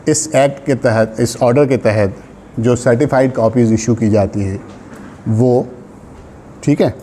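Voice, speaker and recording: Man, Pakistani accent, law lecturer, slow delivery, relaxed tone, didactic, explains as he reads, faint background noise. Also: mid-range voice. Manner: didactic, checks understanding with short tags.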